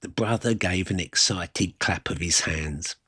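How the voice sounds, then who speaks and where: Deep husky man telling a story. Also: unhurried, pushed and bright, no echo, clean studio sound. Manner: wry, colloquial, self-deprecating. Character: wry working-class South London man reminiscing about his youth. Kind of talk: dramatic first-person storytelling monologue.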